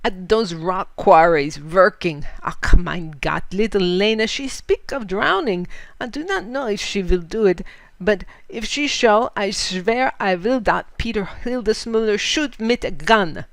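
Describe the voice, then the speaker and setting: A woman voicing an excitable, frightened German immigrant man who drives a wagon, exclaiming in broken English with a German accent, for a storybook. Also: mid-range voice, measured pace, dry recording. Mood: anguished, grim.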